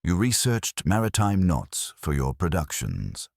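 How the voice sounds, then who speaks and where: A man voicing a mild, courteous police inspector with a measured, conversational, quietly ironic manner in a mystery drama. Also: deep voice, very animated, vocal fry, no echo, studio quality, full character voice. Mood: relentless courtesy.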